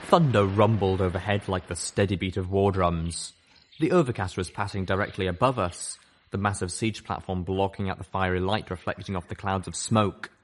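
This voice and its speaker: A man reading a story aloud as first-person narration, expressive and dramatic. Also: low voice, normal speed. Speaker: audiobook reader.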